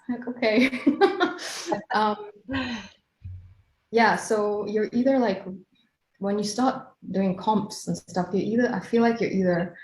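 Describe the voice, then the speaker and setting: A woman's voice: medium pitch, darkish tone, echoey room, poor audio. A woman from Kenya in her late thirties, a hypnotherapist chatting casually as an interview guest, laughing easily.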